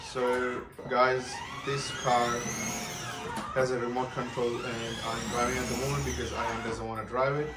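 A man's voice: mid-range voice, flat delivery, a little slow, loud music behind the voice. Echoey, distant man playing along with a child. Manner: simple prompting questions to a child. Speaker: parent hosting a family toy video. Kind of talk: casual play-along chat with a child. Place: large living room with hard wooden floor. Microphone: camera several metres away. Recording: echoey room, distant mic, background noise.

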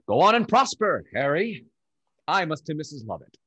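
A young man playing a witty, cynical gentleman rake in a theatrical, wry Restoration comedy style. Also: on the high side, very animated, moderate pace, slightly rough, exaggerated voice. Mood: amused, breezy. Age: young adult. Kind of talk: Restoration comedy stage acting over video call. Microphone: video call.

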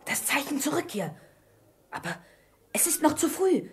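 A woman's voice: high voice, big pitch swings, normal speed, slight background noise. A woman playing a brave, earnest and impulsive boy adventurer with an urgent manner in a German radio play. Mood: alarmed, urgent.

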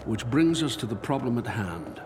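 An actor playing a middle-aged, commanding and calculating corporate boss in a video game, speaking in an authoritative, clipped way. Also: male voice, medium pitch, very animated, moderate pace, lightly acted. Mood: measured, confiding. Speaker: corporate executive. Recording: long reverb under music.

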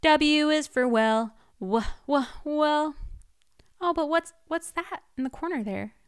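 A young woman librarian reading a picture book aloud to children, sounding out letters playfully in a slow, animated storytime style. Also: sits high. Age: young adult. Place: small home room, indoors. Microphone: large studio mic on arm in front of her.

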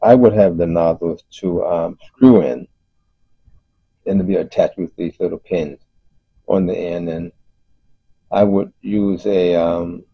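A man giving an unscripted explanation as host of a DIY video, speaking haltingly with frequent pauses. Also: low voice, fairly even intonation, creaky, husky. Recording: muffled, roomy voice-over recording.

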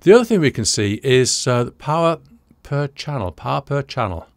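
A man's voice: medium pitch, sing-song, moderate pace, no room sound. Dry-sounding older British man explaining audio. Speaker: audio engineering presenter. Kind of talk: explanatory reaction commentary to camera. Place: small indoor studio room, dry.

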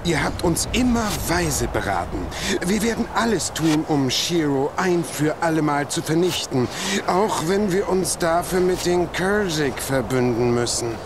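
A determined male warrior speaks in a fairly high register, earnest and respectful. The game cutscene has clear noise behind him. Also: expressive, a little slow. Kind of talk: video game cutscene acting.

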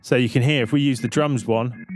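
A man's voice: medium pitch, steady tone, a little fast. A man giving an explanatory music production tutorial, thinking aloud as he talks through the steps. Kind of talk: screen-recorded software tutorial narration.

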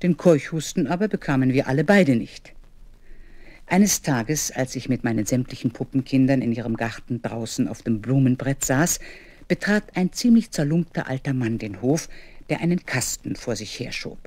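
Grandmother narrator, measured storytelling, childhood memories, dry studio sound, children's radio play. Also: rumbling low, expressive, warm tone, dry recording. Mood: reminiscent. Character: grandmother recounting her childhood.

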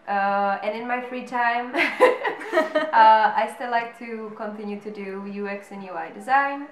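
A young Czech woman in her early twenties with a slightly high voice, speaking haltingly with fillers and little laughs. She chats to camera in an echoey living room with music playing behind her. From Czech Republic. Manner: hesitant, laughing, with many fillers. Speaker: flatmate seeking a roommate. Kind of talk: casual chat to camera. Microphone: camera on a tripod.

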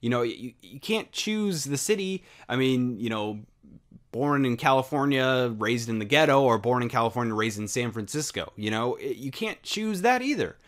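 A man's voice: mid-range voice, lively intonation, normal speed, fairly bright tone. A young American man talking alone in a casual, rambling way, full of fillers, rhetorical questions and casual swearing. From United States. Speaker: podcast host. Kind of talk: unscripted solo monologue.

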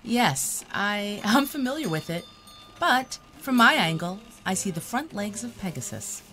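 An actress playing a kindly, slightly awkward physics professor in a natural audio drama. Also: female voice, medium pitch, big pitch swings, unhurried, pushed and bright.

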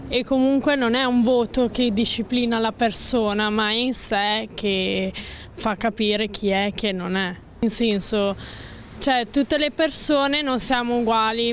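A young woman in her final school year, speaking on the street into a handheld mic. She is earnest and keeps rephrasing her points. Her voice is a little high, with faint traffic noise around her. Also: a bit bright. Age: young adult. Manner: earnest, uses fillers, reformulates. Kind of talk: street interview answer. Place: city street outdoors. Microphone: handheld mic held by interviewer.

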